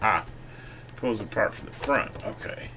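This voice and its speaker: A man with a fairly low voice narrates a hands-on repair in a casual way. He thinks aloud as he goes, unscripted. There is clear noise behind him in the recording. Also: animated, a little slow. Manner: off-the-cuff, thinking aloud while working. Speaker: electronics repair video host.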